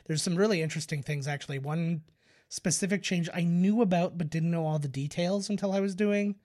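A man's voice: a little high, fairly quick, a little mellow. Man giving casual film commentary. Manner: informative, explanatory. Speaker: podcast co-host.

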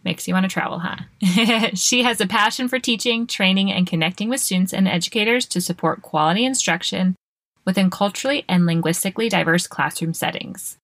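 A woman's voice: mid-range voice, a little fast, fairly bright tone, dry recording. Upbeat woman hosting a podcast. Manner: upbeat, promotional. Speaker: podcast host. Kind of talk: scripted podcast intro and interview.

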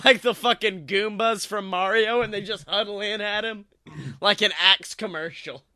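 A man's voice: sits high, fairly even intonation, moderate pace, pushed and bright, no room sound, clean studio sound. A young man co-hosting a comedy podcast, joking around in loose, profane banter. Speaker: podcast co-host.

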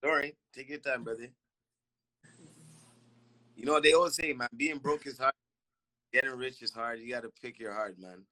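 A male podcast host with a middle-pitched, bright, forceful voice. He keeps it laid-back, reads out questions, and calls his guest "man" and "brother". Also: normal speed, pauses often, poor audio. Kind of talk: casual interview chat.

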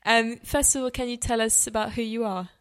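A woman hosting a podcast, introducing her guest and encouraging her with soft murmurs. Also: on the high side, fairly even intonation, brisk. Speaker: podcast host. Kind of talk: podcast hosting, casual interview.

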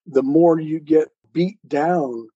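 A man's voice: fairly high pitch, slow, dark tone, breathy edge, no room sound, lo-fi sound. A man talking as a guest author in a conversational interview, earnestly explaining and posing rhetorical questions. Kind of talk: conversational interview talk on life choices.

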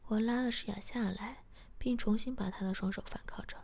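A woman narrating a story in a soft, steady whisper. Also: fairly high pitch, fairly quick, creaky, slightly rough. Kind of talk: whispered audio story reading.

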